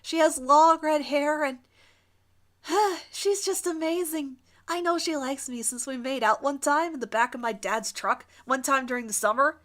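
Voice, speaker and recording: A woman voicing a big, cheerful, oblivious, lovesick teenage boy in a dramatic fan fiction reading, guileless and excitable. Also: high-pitched, unhurried. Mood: lovesick, nervous.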